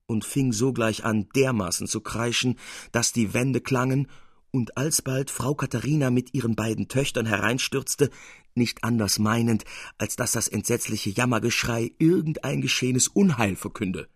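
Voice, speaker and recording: A man reading a literary tale as narrator, in an expressive audiobook style. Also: medium pitch, moderate pace, husky, dry recording, studio quality.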